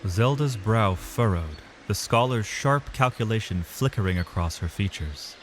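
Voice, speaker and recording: A man's voice: fairly low pitch, expressive, a little slow, fairly bright tone. A man narrating a fantasy story in a dramatic, solemn, cinematic scripted style.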